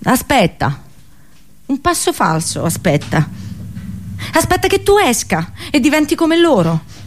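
A woman actor delivering a theatrical radio drama monologue, dramatic and halting, with deliberate pauses and repetitions. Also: high voice, big pitch swings, slight background noise. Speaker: actress performing a monologue.

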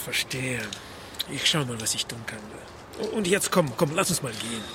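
A sardonic private detective played by a male actor in a radio drama, middle-pitched and deadpan. He talks with dry irony and keeps unflappable, over faint background noise. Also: moderate pace, natural voice. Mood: dryly practical. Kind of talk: German radio drama dialogue and narration.

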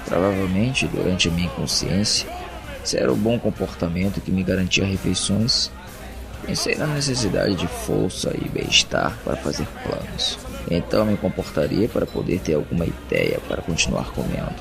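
A man with a Brazilian accent playing an introspective, uneasy captive who narrates an audio drama in a brooding, deliberate way. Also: deep voice, lively intonation, creaky, over music. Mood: resigned reasoning. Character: captive man, introspective, uneasy, reasoning through his confinement.

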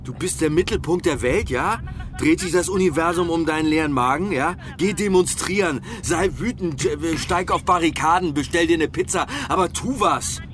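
An actor playing a drunken, self-righteous middle-aged politician who rambles and stammers pompously in a German radio crime drama. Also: male voice, on the high side, moderate pace, fairly bright tone, lightly acted. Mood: contemptuous, drunk. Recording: noisy car interior ambience.

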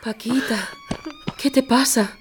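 A woman's voice: a little high, slow, crowd noise. An actress playing a spirited young working-class woman in an audio drama. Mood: concerned. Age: young adult.

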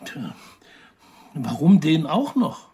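An actor playing an evasive, touchy journalist under questioning in a naturalistic radio crime drama, with a gravelly, husky voice. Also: male voice, a little high, very animated, takes it slowly, warm tone, full character voice. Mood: defensive, irritated.

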